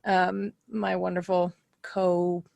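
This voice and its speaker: A woman's voice: on the low side, flat delivery, slow pace, vocal fry, a little mellow, no echo. A woman in her thirties talking casually on a podcast, giggly and self-deprecating, as one of the show's players. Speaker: podcast cast member and player.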